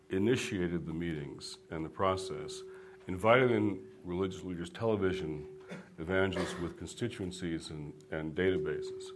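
A man with a fairly low voice talks earnestly, with a preacherly rhythm, building his points in repeated phrases. He speaks as a guest on a discussion panel. Steady noise runs underneath the recording. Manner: earnest, preacherly cadence, tells jokes. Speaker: guest author.

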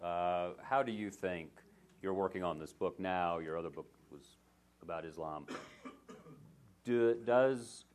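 Man, very deep voice, moderator, probing, long framing questions. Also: unhurried, pauses often. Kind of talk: panel discussion.

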